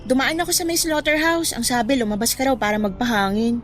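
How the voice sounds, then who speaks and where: A woman's voice: on the high side, fast, faint music behind, close to an everyday voice. A woman playing a young best friend in a radio drama. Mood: playful, teasing. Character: playful, teasing young woman, boy's childhood best friend.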